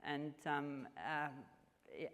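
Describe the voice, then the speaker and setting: A woman in her fifties from South Africa, with a South African accent and Afrikaans as her first language, answering interview questions in an earnest, measured way with frequent restarts. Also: low voice, level tone, fairly bright tone. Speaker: mining executive guest. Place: large function hall. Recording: long hall reverb.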